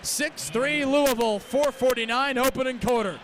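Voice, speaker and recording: Young male radio football announcer, high voice, detailed and crisp, over clear background noise from the stadium. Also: moderate pace, fairly bright tone, poor audio. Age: young adult. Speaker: play-by-play sports announcer. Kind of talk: live radio American football commentary. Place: stadium game broadcast. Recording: live outdoor broadcast with background noise.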